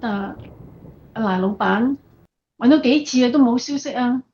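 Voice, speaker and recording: Lady playing a flustered office assistant, high voice, halting and urgent, noisy lo-fi radio play. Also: darkish tone, natural voice. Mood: flustered, alarmed. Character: flustered female office assistant bringing bad news. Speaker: radio drama actor. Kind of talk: amateur Cantonese radio drama dialogue. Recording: dull, noisy, lo-fi recording.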